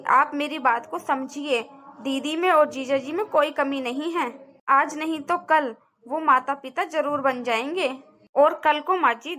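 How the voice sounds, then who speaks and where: Woman as high-pitched, earnest young daughter-in-law. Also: sharp tone. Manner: earnest, polite, pleading. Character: worried, respectful young daughter-in-law and mother. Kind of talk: cartoon family drama acting.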